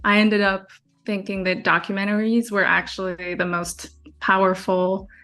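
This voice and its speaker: A woman's voice: mid-range voice, little pitch movement, moderate pace, bright, forceful tone, soft background music. A woman, a documentary filmmaker answering interview questions in a chatty way, often restarting sentences. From France. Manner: chatty, many fillers and restarts, laughs easily.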